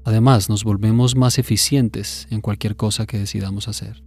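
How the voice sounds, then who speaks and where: A man narrating a scripted guided meditation in a measured, instructive way, pausing between phrases. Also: fairly low pitch, steady tone, darkish tone, faint music behind.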